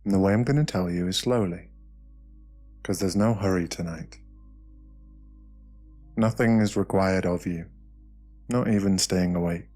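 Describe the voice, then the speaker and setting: Deep-voiced British man, hushed meditation narration. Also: soft background music. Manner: slow, hushed, soothing delivery with short phrases and pauses. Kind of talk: ASMR guided meditation, scripted narration.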